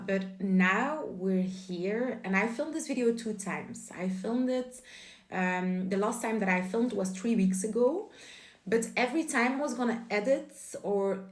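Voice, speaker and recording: A young woman from Belgium, whose first language is Dutch, chats to camera in English. She giggles and apologizes often, and her mid-pitched voice is heard through a phone mic. The room around her is noticeably echoey. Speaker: vlogger. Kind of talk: casual chat to camera.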